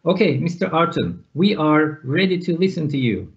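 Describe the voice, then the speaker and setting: A man hosts an online conference over a video call, his voice sitting a little high. He reads guest introductions slowly and hesitantly, with plenty of fillers. The audio is dull and lo-fi. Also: expressive. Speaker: conference host. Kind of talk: hosting an online teacher conference.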